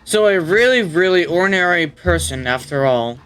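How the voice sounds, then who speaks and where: A woman's voice: on the low side, lively intonation, normal speed, fairly bright tone, faint music behind, low-quality recording. A woman hosting a game playthrough, commenting and reading dialogue aloud. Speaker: gaming channel host.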